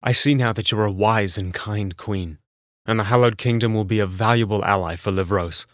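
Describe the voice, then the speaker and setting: A humbled noble acted by a man speaks in a fairly low voice with formal, earnest remorse. The sound is dry and close, suited to fantasy drama. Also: normal speed, a bit bright, dry recording, close to an everyday voice. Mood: remorseful. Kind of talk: scripted fantasy character demo.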